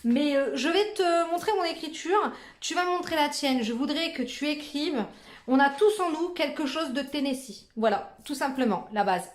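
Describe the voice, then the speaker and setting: A young woman talks casually and playfully in a fairly high voice, with slang and the odd swear word. Her voice rings in a noticeably echoey room. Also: lively intonation, normal speed. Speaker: fellow vlogger. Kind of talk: casual chat.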